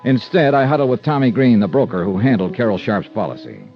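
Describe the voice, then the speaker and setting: An actor playing a wry, hard-boiled insurance investigator in 1950s radio detective style. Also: male voice, mid-range voice, big pitch swings, faint music behind. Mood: brisk, businesslike. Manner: brisk, wry, clipped questioning. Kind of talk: 1950s radio detective acting with first-person narration. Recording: dull old radio recording.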